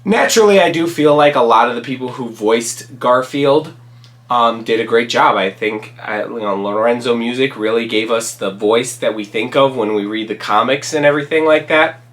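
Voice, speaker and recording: A man hosting his own channel, talking casually through his favorite movies, raspy from a cold and rambling with many ums and restarts. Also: medium pitch, moderate pace, fairly bright tone. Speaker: channel host reviewing movies. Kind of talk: casual solo commentary.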